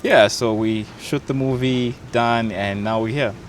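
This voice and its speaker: A young man, an actor and content creator, chatting playfully in an interview, unhurried and hesitant with fillers. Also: mid-range voice, a little hiss or hum. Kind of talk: casual interview chat.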